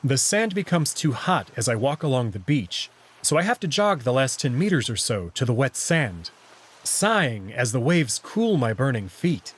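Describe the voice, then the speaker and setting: A man's voice: medium pitch, very animated, normal speed, husky. A man reading first-person story narration aloud in a scripted visual novel style.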